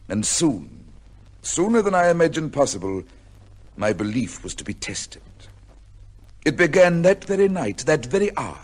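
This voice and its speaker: Husky, pompous English judge. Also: male voice, on the high side, a little mellow. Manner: formal, measured, pompous. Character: proud, severe English high court judge with a secret life. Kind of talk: old-time radio drama acting, with first-person narration.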